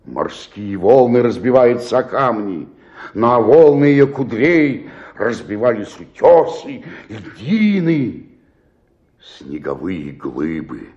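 An actor playing a despairing, self-pitying old provincial actor in a radio play, declaiming and then sinking into murmurs. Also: male voice, on the low side, sing-song, slow pace, a little mellow, lo-fi sound, exaggerated voice. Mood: rapt, wistful. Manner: declamatory, swinging between outburst and murmur. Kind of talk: radio play dramatic monologue.

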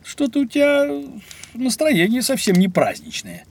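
An actor playing a kindly, cheerful writer uncle in a children's audio play, expressive and coaxing. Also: male voice, very high voice, moderate pace, a little hiss or hum, heavily acted. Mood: busy, coaxing.

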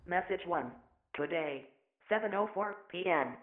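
A woman's voice: on the low side, lively intonation, unhurried. A woman voicing an impersonal answering machine, announcing messages in a clipped, mechanical way. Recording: tinny, echoing answering machine speaker.

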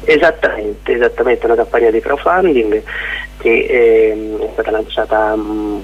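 A man giving an informal radio interview as a guest playwright, halting and searching for words. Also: on the low side, fairly even intonation, a little hiss or hum. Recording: thin, narrow telephone line on radio.

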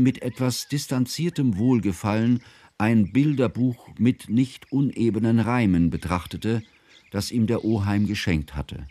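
An educated narrator in a literary radio drama. His voice sits on the low side. He speaks formally and fondly, with ornate, measured phrasing. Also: darkish tone. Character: educated male narrator, fondly observant and ceremonious.

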